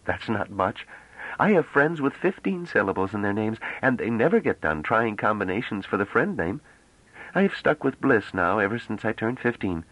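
Male narrator as young woman, proud, coy, careful diction, lifted pitch, lively swoops, storybook emissary. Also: normal speed, dry recording, lo-fi sound. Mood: chatty, playful. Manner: slightly hesitant, careful pronunciation, solemn and playful.

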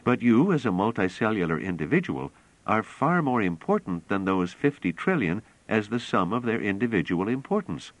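A man voicing a calm young woman who explains patiently and earnestly. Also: on the low side, normal speed, no room sound, pitched above the performer's own voice, rough recording.

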